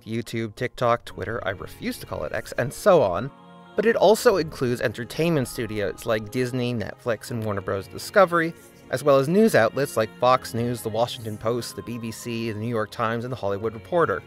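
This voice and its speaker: A man reading a scripted video essay in a measured, deliberate way. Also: medium pitch, a bit bright. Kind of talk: scripted narration.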